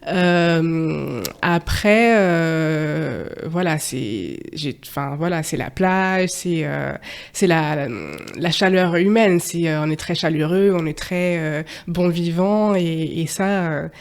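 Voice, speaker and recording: A woman's voice: deep voice, takes it slowly, gravelly, smooth. A young woman from France who grew up partly in Martinique, talking as a podcast guest in a hesitant, casual way, with many fillers and frequent laughs. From France, raised partly in Martinique. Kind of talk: casual interview testimony.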